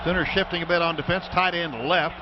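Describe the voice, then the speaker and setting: An American man calling football play-by-play on the radio in clipped running descriptions that get excited on big plays. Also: sits high, fast, a crowd in the background. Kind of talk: live American football commentary. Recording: dull, noisy radio broadcast from stadium.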